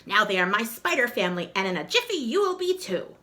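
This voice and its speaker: A woman's voice: fairly high pitch, big pitch swings, quick pace, pushed and bright. A woman voicing a mean old witch in a storybook, with a cracked, screeching voice. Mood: gloating.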